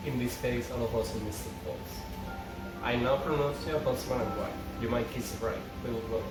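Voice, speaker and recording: A man with a medium-pitched voice hosts an amateur table read, delivering lines and directions haltingly. He is distant from the mic in a roomy, echoing space, with music clearly playing behind him. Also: fairly even intonation. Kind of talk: amateur script read-aloud with stage directions.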